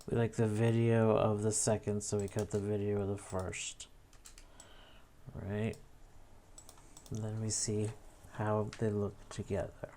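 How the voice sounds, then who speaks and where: Slow, musing man, noisy desk recording. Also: lowish voice, level tone, dark tone. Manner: musing, thinking aloud with tag questions. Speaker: video editor demonstrating an edit. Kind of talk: casual unscripted tutorial narration. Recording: clear background noise with keyboard clicks.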